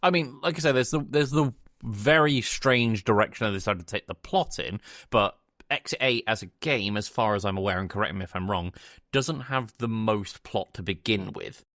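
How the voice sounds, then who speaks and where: A man's voice: on the low side, normal speed, a bit bright, dry recording, clean studio sound. A man co-hosting a podcast, casually explaining things about films and searching a little for his words. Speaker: podcast co-host. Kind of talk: casual film review chat.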